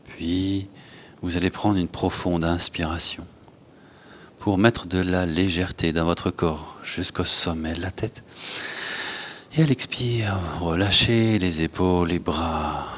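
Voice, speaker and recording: A man leads a guided yoga and breathing class, giving unhurried instructions in short phrases with pauses. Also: deep voice, a bit bright, a little hiss or hum. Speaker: yoga teacher.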